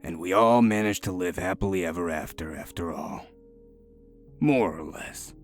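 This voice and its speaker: A man playing a gruff, world-weary detective in a comic dub, laconic and sardonic. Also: deep voice, moderate pace, faint music behind, natural voice. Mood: wry. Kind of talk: scripted comic-dub acting.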